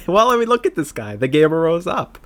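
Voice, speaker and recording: A man's voice: on the high side, big pitch swings, moderate pace. A man co-hosting a casual political podcast, bantering in a sardonic, mocking manner. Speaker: podcast co-host.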